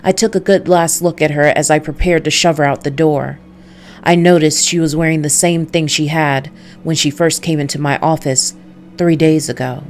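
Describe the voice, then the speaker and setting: Woman reading as a low, brooding noir antihero. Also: steady tone, a little hiss or hum, close to an everyday voice. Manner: brooding, measured. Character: weary, wary male incubus, noir antihero narrator. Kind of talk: noir first-person visual novel narration.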